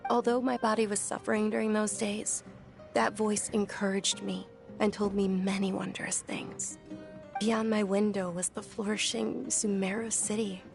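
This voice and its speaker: Woman voicing a gentle, earnest young woman. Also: mid-range voice, little pitch movement, moderate pace, vocal fry, over music, lightly acted. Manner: soft, reflective storytelling. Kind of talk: game voice acting.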